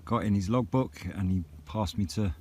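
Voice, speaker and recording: A man's voice: on the low side, normal speed, warm tone. A man, chatting casually outdoors as a vlogger and CB radio hobbyist. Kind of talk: casual vlog chat and radio exchanges. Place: outdoors on a hilltop.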